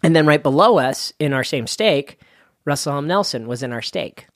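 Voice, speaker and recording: A man's voice: a little high, expressive, normal speed, no room sound, studio quality. A man in his late thirties from Salt Lake City, a wedding DJ chatting as a guest and telling playful stories. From Salt Lake City, Utah. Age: 30s. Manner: chatty, storytelling, playful, name-dropping. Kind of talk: casual chat.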